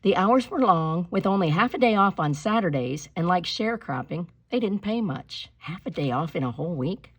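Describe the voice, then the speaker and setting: A woman reading a storybook aloud like a teacher, with deliberate, expressive phrasing and instructive delivery. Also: lowish voice, husky, dry recording. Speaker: teacher reading a picture book aloud to pupils.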